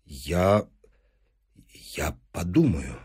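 A man voicing an anxious, doubtful professor in an audiobook, speaking quietly and haltingly. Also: fairly low pitch, big pitch swings, breathy edge, heavily acted. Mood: anxious, hesitant. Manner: halting, quiet, heavy-hearted. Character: anxious, hesitant male professor, subdued and doubtful. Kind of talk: audiobook character dialogue.

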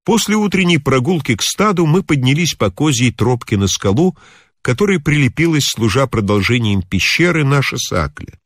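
A man narrating a literary tale as an audiobook reader, in an expressive storytelling style. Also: medium pitch, normal speed, no echo, clean studio sound.

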